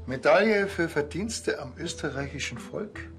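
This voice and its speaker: An actor playing a dry, sardonic patrol cop, terse and deadpan, in a TV crime comedy. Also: male voice, fairly high pitch, unhurried, soft background music. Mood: matter-of-fact. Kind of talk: German TV crime comedy acting.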